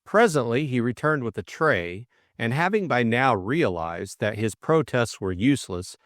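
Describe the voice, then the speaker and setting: A man's voice: mid-range voice, big pitch swings, normal speed, dry recording, studio quality. A man narrating a classic mystery novel as a scripted audiobook reading.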